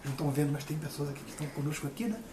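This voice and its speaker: A man's voice: on the high side, racing. A man, middle-aged, giving an informal talk as a lecturer, earnest and emphatic, repeating phrases for stress. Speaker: university lecturer and guest speaker. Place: indoor room with people present. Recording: echoey room, distant mic, faint noise.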